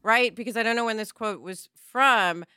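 A woman co-hosting a podcast, chatting casually with an animated, informal manner. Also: fairly high pitch, moderate pace, clear, resonant tone, dry recording, clean studio sound. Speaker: podcast co-host. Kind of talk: casual chat.

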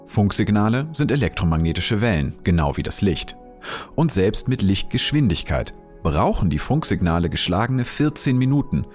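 A man narrating a science explainer in a calm, measured, explanatory way. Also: low-pitched.

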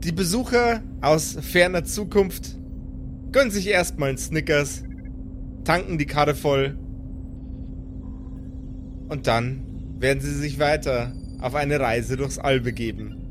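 A man's voice: fairly high pitch, slow, long pauses, background noise. A man narrating an improvised audio drama as game master in a dramatic storytelling style.

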